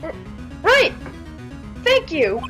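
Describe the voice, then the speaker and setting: A woman's voice: very high-pitched, wildly swooping pitch, extremely slow, a bit bright, soft background music, exaggerated voice. A woman voicing a meek, polite young woman defendant in a live read-through of a visual novel game. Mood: meek, resigned.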